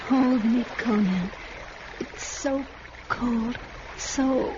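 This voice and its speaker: A woman's voice: on the high side, drawn-out words, very noisy. An actress playing a devoted, earnest warrior woman in melodramatic radio fantasy style. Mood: dying, weak. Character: devoted, fervent warrior woman, loyal to her lover. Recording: dull lo-fi radio, very loud music.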